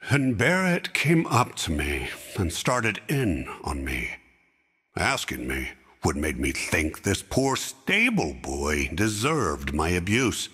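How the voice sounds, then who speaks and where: A man as a grieving old king, husky. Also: low voice, sing-song, bright, forceful tone, in a large hall, full character voice. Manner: slow, heavy reminiscing. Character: grieving aging king, stern yet sorrowful. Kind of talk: fan audio drama acting.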